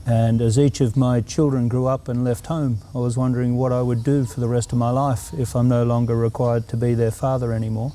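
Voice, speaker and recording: Middle-aged aussie guy, real dark mellow voice, pretty flat, thoughtful, faint noise behind. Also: mid-range voice. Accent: Australian. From Australia. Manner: earnest, reflective, unhurried. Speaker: guest. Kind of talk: interview answers.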